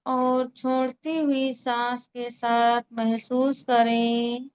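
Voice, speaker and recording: A woman leading a guided meditation in a calm, soothing way, giving unhurried instructions with long pauses. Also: on the high side, clean tone, dull audio. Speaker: meditation guide and Reiki healer.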